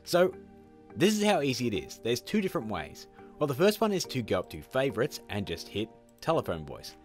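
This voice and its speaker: Upbeat guy hosting a how-to video, really animated pitch, chummy, music faintly behind him. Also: a little fast. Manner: chummy, informal, addresses viewers as guys. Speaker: tutorial host. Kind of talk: upbeat video tutorial presenting.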